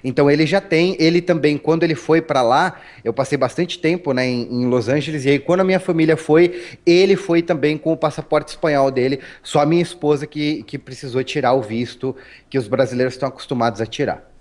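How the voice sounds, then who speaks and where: Brief: Paulistano male guest, relaxed storyteller, raised pitch, lots of restarts and "né?". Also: brisk. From Brazil. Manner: chatty, storytelling, frequent restarts and tag questions. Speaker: interview guest. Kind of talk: casual interview talk.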